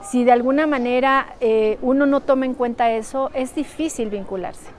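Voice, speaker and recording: Higher-voiced woman answering in a noisy setting. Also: moderate pace. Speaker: documentary interviewee. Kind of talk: informal interview answers. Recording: clear background ambient noise.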